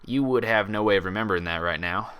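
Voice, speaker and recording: Storybook cowgirl pony doctor, played by a man: folksy Southern US drawl, friendly but touchy, bright and forceful. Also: fairly low pitch, quick pace, clean tone, lightly acted. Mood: embarrassed, apologetic. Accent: American Southern.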